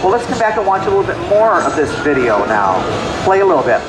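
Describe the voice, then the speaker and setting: A middle-aged man, a software product specialist, giving a chatty, self-deprecating demo clinic at a trade show on a handheld mic. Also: high voice, sing-song, fast, noisy background. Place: large convention hall. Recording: echoey hall with music behind.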